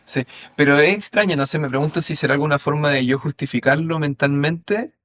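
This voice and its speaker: A man with a Chilean accent hosting a relaxed podcast conversation, thinking aloud and hedging his points. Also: a little high, brisk. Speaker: podcast host. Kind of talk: casual conversational interview.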